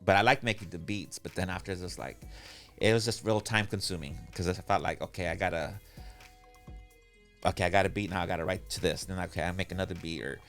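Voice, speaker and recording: A man's voice: deep voice, quick pace, fairly bright tone, faint music behind. A man hosting a podcast, chatting in a laid-back, slangy, rambling way. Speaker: podcast host. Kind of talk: casual interview chat.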